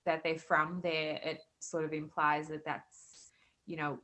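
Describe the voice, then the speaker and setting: A woman's voice: deep voice, flat delivery, unhurried, pushed and bright, rough recording. A pregnant woman in her thirties with a light South African accent, chatting as a podcast guest with plenty of fillers. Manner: chatty, many fillers and laughs. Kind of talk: casual interview chat.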